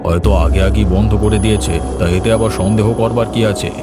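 Man as a practical gentleman, loud music behind. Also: fairly low pitch, steady tone, fast talker, lightly acted. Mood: puzzled. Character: village gentleman, practical and puzzled. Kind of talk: horror cartoon voice acting.

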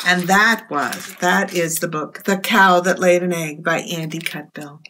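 Middle aged lady doing kids storytime, takes her time, really expressive reading. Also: fairly low pitch, a little slow, slight background noise. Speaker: children's librarian storyteller. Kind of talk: read-aloud storytime for young children. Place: small indoor room.

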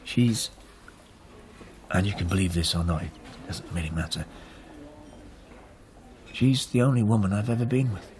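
An actor playing a rueful, hesitant middle-aged husband in a naturalistic radio drama. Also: male voice, on the low side, moderate pace, pauses often, a little mellow, a little hiss or hum, close to an everyday voice. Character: rueful working man whose wife left him.

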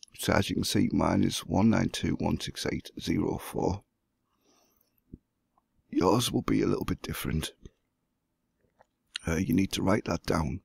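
A hoarse man who has the flu, walking through a computer setup step by step as the host of a gaming channel. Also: sits low, no echo. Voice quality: gravelly, husky. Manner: plain step-by-step explaining. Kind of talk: screen-recorded tutorial.

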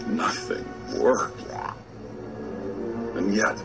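An actor playing a scheming, self-satisfied villain in a dramatic video game monologue. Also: male voice, very slow. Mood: brooding, reflective. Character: scheming male villain boasting of secret inventions. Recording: distant-sounding clip under loud music.

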